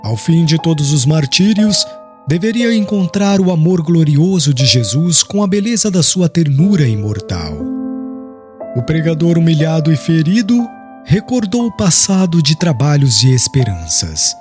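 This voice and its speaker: A man's voice: a little high, big pitch swings, moderate pace, a little mellow. A man reading an audiobook as dramatic, solemn scripted narration in a literary manner. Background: music.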